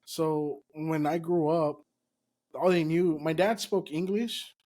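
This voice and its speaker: A man's voice: fairly high pitch, slow pace, a little mellow. An American man whose first language is Spanish, chatting casually as a podcast host in a slangy, agreeable way. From United States.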